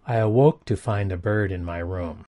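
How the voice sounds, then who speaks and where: A man reading English example sentences for a lesson, each one said twice in an even, deliberate way. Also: sits low.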